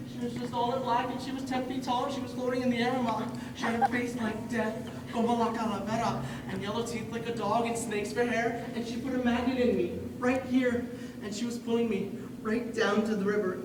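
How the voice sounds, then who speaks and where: A young woman playing an excitable, imaginative village boy in a high school stage play, telling things with wide-eyed drama and some Spanish words. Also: natural voice. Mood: frightened, breathless awe. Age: young adult. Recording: echoey hall from far off, noisy.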